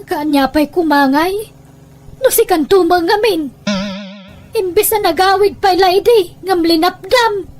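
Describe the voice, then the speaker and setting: Woman acting a very high-voiced, imploring Filipino parent. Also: unhurried, faint music behind. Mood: troubled. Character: worried, pleading parent of a troubled grown child. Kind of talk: Ilocano radio-style drama acting.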